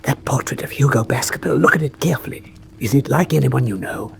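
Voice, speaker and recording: An actor playing a calculating, authoritative detective, deliberate and analytical, in a radio drama. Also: male voice, mid-range voice. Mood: intent. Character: calculating, keen male detective, intent and authoritative. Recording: clearly noisy radio drama track.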